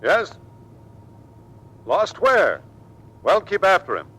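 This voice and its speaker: Animated, dutiful navy commander husband. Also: male voice, slow, full character voice. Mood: busy, firm. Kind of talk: 1940s radio acting. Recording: noisy old radio broadcast, low rumble.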